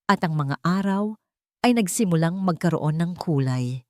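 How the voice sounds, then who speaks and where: A woman narrating a scripted dramatic story with measured, suspenseful phrasing. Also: fairly low pitch, lively intonation, dry recording, studio quality.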